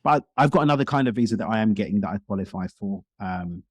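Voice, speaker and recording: Male interview guest: quick, talkative, close dry sound, conversational. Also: fairly low pitch, animated, breathy edge, no echo. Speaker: podcast guest, speaker and coach.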